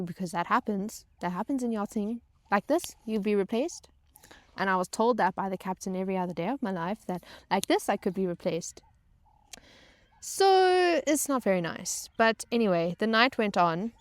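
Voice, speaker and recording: A woman's voice: mid-range voice, no room sound. A woman telling a casual comedic personal story to viewers in a dramatic, self-mocking way, repeating phrases for emphasis. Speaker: storytelling vlogger and former yacht crew member.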